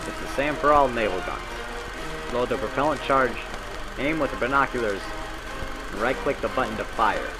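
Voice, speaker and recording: A man delivers scripted instruction in the manner of a 1940s navy training reel. His voice sits at a middling pitch, brisk and folksy as he explains things step by step. Music plays clearly underneath. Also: low-quality recording. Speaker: training film narrator.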